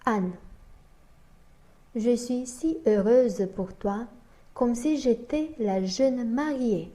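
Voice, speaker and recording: A woman slowly reading a scripted learner dialogue aloud, naming each speaker before their line. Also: a little high, dark tone. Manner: slow, deliberate, reads both parts and announces each speaker.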